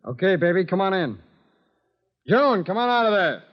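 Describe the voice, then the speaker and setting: A man's voice: sits high, very animated, normal speed. An actor playing a cynical, menacing male club boss and killer in an old radio crime drama, with tough, slangy gangster talk. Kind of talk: 1940s-50s radio crime acting. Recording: very noisy, dull old radio recording.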